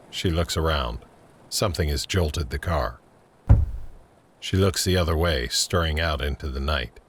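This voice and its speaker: Really deep guy narrating an audio drama script, scene by scene. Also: background noise.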